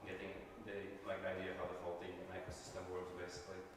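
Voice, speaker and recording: A man's voice: deep voice, completely flat delivery, fast, noisy background. A man from Latvia introducing himself to a group, hesitant and full of filler words, recorded from a distance. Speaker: attendee. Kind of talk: casual self-introduction. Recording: echoey room, voice from far off.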